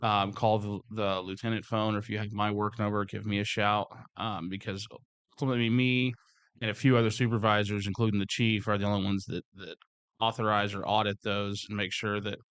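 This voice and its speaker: A man with a slightly hoarse voice, speaking as a security supervisor in casual workplace talk, rambling and restarting his words. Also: lowish voice, flat delivery, a little fast, a bit bright, no echo. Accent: Midwestern American.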